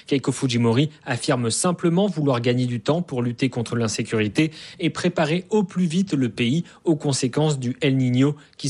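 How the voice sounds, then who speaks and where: Man correspondent, formal report, husky voice, mid pitch. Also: darkish tone. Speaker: radio correspondent. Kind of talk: scripted news report.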